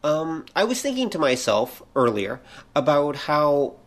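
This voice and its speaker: A man's voice: fairly high pitch, very animated, a little slow. Middle-aged man chatting casually on a podcast. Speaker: podcast co-host. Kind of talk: casual conversational podcast chat.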